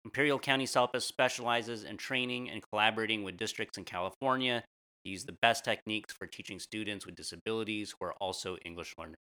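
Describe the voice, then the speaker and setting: A man's voice: lowish voice, pushed and bright, clean tone. An American man reading a scripted podcast introduction as a co-host.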